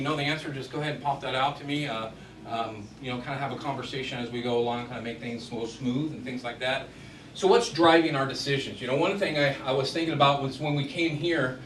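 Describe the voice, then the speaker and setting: Deep-voiced man presenting to a room. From Washington State, US West Coast. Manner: conversational, chatty, frequent fillers. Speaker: guest speaker. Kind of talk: casual business presentation. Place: event room with audience. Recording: echoey room, far from the mic, faint noise.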